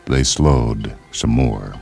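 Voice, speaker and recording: Man, exceptionally deep voice, scripted story narration, measured literary delivery, music in the background. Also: vocal fry, darkish tone. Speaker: narrator of a short story series. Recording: music behind the voice.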